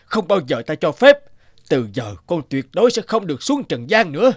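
A man's voice: a little high, big pitch swings, moderate pace, no room sound. A man voicing an old heavenly emperor in a cartoon, a stern father who speaks in a commanding, emphatic way. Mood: angry, imperious.

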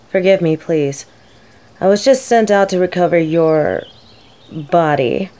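A woman playing a gentle, scholarly female professor in an audio roleplay, speaking thoughtfully in formal words. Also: lowish voice. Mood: gentle, sympathetic. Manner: soft-spoken, thoughtful, formal wording.

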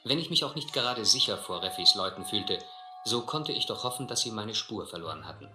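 Low-voiced, earnest adventurer played by a man, echoing. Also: lively intonation, over music, lightly acted. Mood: tense, reflective. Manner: earnest, measured, literary. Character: earnest, wary male castaway narrator, educated gentleman adventurer. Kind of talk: scripted first-person narration and dialogue in radio drama.

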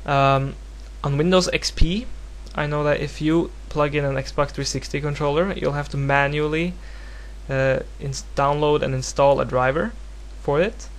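A man from Norway speaking English as a second language with a Norwegian accent, giving a casual, unscripted software tutorial in a hesitant, rambling, self-deprecating way. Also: a little high, fairly even intonation, a little slow. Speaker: tutorial presenter. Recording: home recording with clear background noise and hum.

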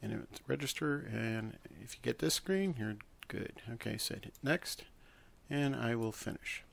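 A man presenting a casual software tutorial, talking informally through each step as he works at a computer. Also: medium pitch, a little slow, creaky. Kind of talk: casual screen-recorded tutorial.

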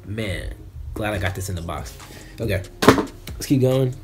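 A man's voice: on the low side, lively intonation, a little slow, loud music behind the voice. A young American man chatting casually as an unboxing vlogger, laid-back and slangy, recorded indoors on a camera at arm's length. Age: young adult. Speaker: fishing vlogger. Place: small bedroom, indoors.

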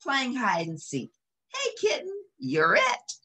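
Woman playing a cocky male street mutt, animated. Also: fairly high pitch, slow, pitched above the performer's own voice, full character voice. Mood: playful. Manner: slangy, flippant.

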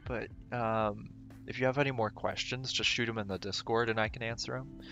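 A man streaming a game, rambling casually and thinking aloud with lots of fillers, his voice going raspy. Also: mid-range voice, fairly even intonation, a little fast, pushed and bright, soft background music. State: voice getting raspy. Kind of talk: casual gameplay livestream commentary.

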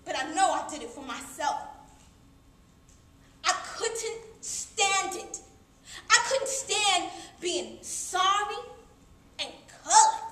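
High, bright-voiced woman performing dramatic monologue. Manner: emotive, swinging between hushed and loud delivery. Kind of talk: dramatic theatrical poetry monologue. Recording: echoey room with faint background noise.

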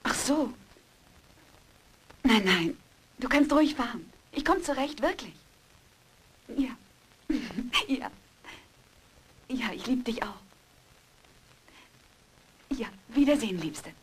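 A woman's voice: on the high side, expressive, slow pace, pauses often, slight background noise, low-quality recording. A woman playing a polite, affectionate young wife in a horror audio drama.

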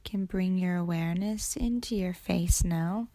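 A woman guiding a meditation in a soothing, unhurried way, with breathy ends to her phrases. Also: lowish voice, dark tone, no room sound. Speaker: yoga nidra meditation guide. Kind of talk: guided meditation narration.

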